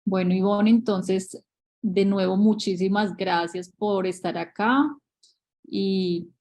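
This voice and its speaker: A woman's voice: medium pitch, fairly even intonation, slow pace, dark tone. A woman real estate agent co-hosting a webinar over a video call, searching for words and repeating herself.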